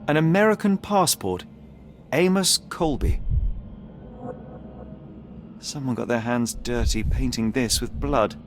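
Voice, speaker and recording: An actor playing a shrewd, driven private detective in a video game, speaking in a formal, precise and coolly commanding way. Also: male voice, mid-range voice, a little hiss or hum, natural voice. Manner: formal, precise, deductive delivery. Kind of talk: dramatic video game voice acting. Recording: music bed under the voice.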